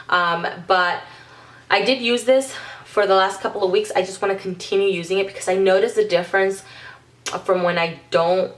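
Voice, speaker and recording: A congested, under-the-weather woman chatting through a casual makeup vlog, rambling with frequent ums and self-corrections. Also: fairly low pitch. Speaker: beauty vlogger.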